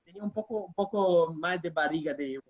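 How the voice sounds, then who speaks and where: An Italian man speaking as an interview guest, halting and restarting as he mixes Portuguese with Spanish. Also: fairly high pitch, no echo, muffled recording. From Italy. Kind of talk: casual interview over a call.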